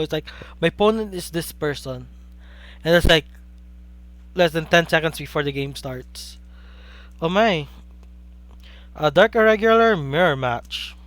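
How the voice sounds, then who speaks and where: A young man with a Filipino accent calls a card game live, his voice pitched a little above the usual for a man. He gets excitable and keeps asking rhetorical questions, now and then greeting viewers in chat. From Philippines. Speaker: caster for a card game stream. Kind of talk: live play-by-play commentary.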